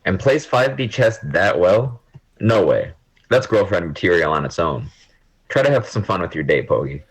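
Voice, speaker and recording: Young man acting blunt, supportive best friend, very low husky voice, romcom audio play. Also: expressive, moderate pace, poor audio. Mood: encouraging. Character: blunt, teasing, supportive gamer buddy. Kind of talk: amateur romantic comedy audio drama.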